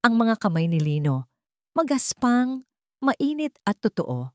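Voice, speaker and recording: A woman's voice: a little high, big pitch swings, measured pace, darkish tone, dry recording, studio quality. A woman narrating a scripted romantic drama as a storyteller.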